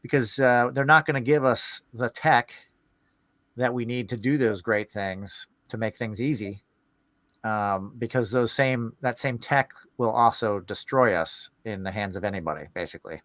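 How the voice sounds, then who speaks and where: A man with a mid-pitched voice chats casually as a podcast host. His delivery is informal and a little halting, and the sound is very dry and close. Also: fairly bright tone, no room sound. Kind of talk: casual conversation.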